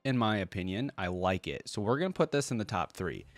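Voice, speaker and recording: A man's voice: lowish voice, lively intonation, a little fast, no echo. A man hosting a reaction video, giving casual, informal commentary in slangy fan talk addressed to his viewers.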